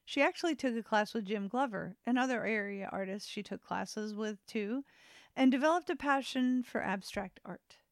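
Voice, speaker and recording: A woman presents a feature as its host. Her voice sits a little high, and she narrates in an easy conversational style. The sound is dry, with little room around it. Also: moderate pace, no echo. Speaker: host and interviewer.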